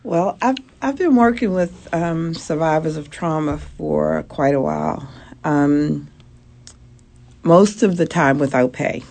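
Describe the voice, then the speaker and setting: A woman counselor speaking calmly and soothingly as a guest on a radio talk show. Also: deep voice, slow pace, gravelly. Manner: calm, soothing. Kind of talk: radio interview answers.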